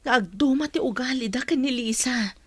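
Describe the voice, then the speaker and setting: A woman's voice: sits high, fairly quick, slightly rough, dry recording. A woman playing a caring, long-suffering middle-aged mother in a radio drama. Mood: sad, resigned.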